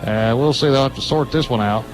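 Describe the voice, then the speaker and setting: A man's voice: medium pitch, fairly quick, poor audio. An American man doing live play-by-play radio commentary of a football game in a clipped running style that builds on big plays. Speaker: play-by-play announcer. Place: stadium broadcast position at a high school game.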